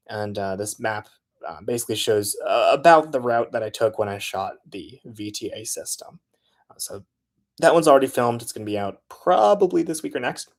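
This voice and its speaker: A man talks through his filmmaking process in a relaxed, conversational way. He often pauses on filler sounds while he works out his next point. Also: medium pitch. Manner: loose and chatty, frequent filler sounds. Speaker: transit video creator. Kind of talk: casual how-to talk.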